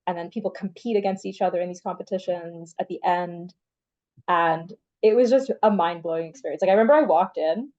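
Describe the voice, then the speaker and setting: A woman's voice: on the low side, a little fast, a little mellow, muffled recording. A young woman from Canada, the guest in a casual interview, talking in a chatty way with many "like" fillers. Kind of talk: casual conversation.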